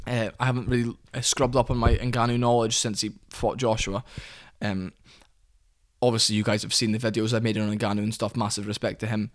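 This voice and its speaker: A man's voice: lowish voice, flat delivery, brisk. A man giving heated, opinionated commentary on fighters, often quoting others in put-on voices. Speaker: commentary channel host.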